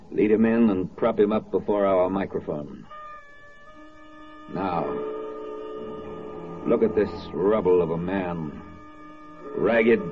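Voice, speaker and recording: An actor playing a solemn, rhetorical narrator in a 1940s radio drama, with deliberate, oratorical phrasing. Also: male voice, fairly low pitch. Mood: stern, rhetorical. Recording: dull vintage radio recording, faint music.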